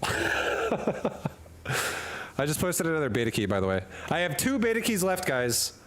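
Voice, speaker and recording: A man's voice: on the high side, wildly swooping pitch, measured pace, fairly bright tone, slight background noise. A man streaming a game from a hot room at home, chatting casually and rambling through jokes while he reads chat and laughs often.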